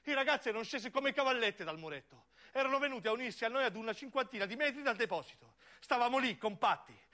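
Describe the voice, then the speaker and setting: A male actor delivers a scripted radio-theatre monologue. His voice is high and forceful, moving across a wide range. The phrasing is deliberate, emphatic and theatrical. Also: lively intonation. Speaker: narrating stage actor.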